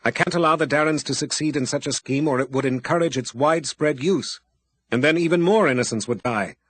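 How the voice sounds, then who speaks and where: A man's voice: fairly high pitch, brisk, breathy edge, no echo, pitched above the performer's own voice. A man voicing a stern, powerful male wizard with firm, weighty speech.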